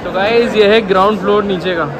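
A young man with a fairly high voice chats casually into a phone held at arm's length. He narrates for his viewers and teases his friends. A loud cheering crowd and heavy noise fill the background. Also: fairly even intonation, fast. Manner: chatty, talks to viewers and companions. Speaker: vlogger. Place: crowded cricket stadium stands, outdoors. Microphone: handheld phone at arm's length.

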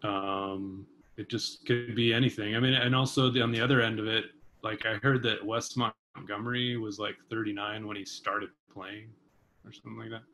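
A man's voice: on the low side, steady tone, moderate pace, sharp tone, a little hiss or hum. A man in his thirties, a musician guest, talking thoughtfully from his kitchen over a video call, hedging with many fillers. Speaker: vibraphonist and composer guest. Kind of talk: casual conversation. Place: home kitchen. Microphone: video call webcam.

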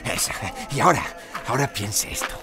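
An actor playing a drunk, feverishly obsessed archaeologist in an audio drama, erratic and insistent. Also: male voice, medium pitch, animated, brisk, over music, close to an everyday voice. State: acting drunk.

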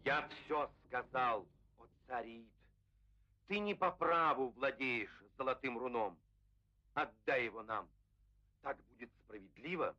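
An actor playing a bold, proud warrior hero in an old cartoon of Greek legend, speaking in solemn declamation. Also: male voice, mid-range voice, slow, sharp tone. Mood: firm, proud. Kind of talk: theatrical Soviet cartoon acting. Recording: dull vintage cartoon soundtrack with music.

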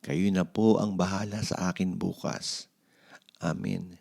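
A man's voice: deep voice, steady tone, unhurried, gravelly, darkish tone, slightly rough, full character voice. A man with a Filipino accent voicing a polite, anxious little boy in a children's storybook. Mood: anxious, praying. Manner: polite, meek.

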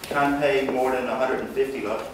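A man's voice: mid-range voice, fairly even intonation, moderate pace, natural voice. A man acting a flirtatious haggler in a play reading, casual and teasing. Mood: flirty. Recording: echoey hall, distant mic, noisy.

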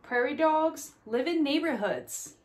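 Lady teacher doing a kids read aloud, highish voice, chats to the kids between lines. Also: expressive, measured pace. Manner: addresses young listeners directly with questions and tag "right?". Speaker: teacher reading aloud to children. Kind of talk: children's picture book read-aloud with asides. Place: small indoor room at home or classroom corner.

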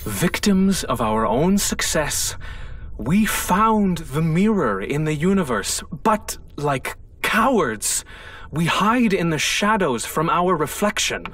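Formal, philosophical space station scientist. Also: male voice, a little high, big pitch swings, fairly bright tone, distant mic, exaggerated voice. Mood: sorrowful reflection. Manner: formal, measured, contemplative. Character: earnest, philosophical male space station scientist, regretful and ponderous. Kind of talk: scripted audio log monologue for a sci-fi game.

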